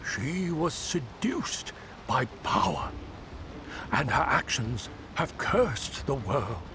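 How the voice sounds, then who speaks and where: An actor playing a weary, wise old man in a video game, speaking in a deliberate, folksy way over music. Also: medium pitch, sing-song, background noise. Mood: grave, urgent. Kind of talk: dramatic video game voice acting. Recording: background music under the voice.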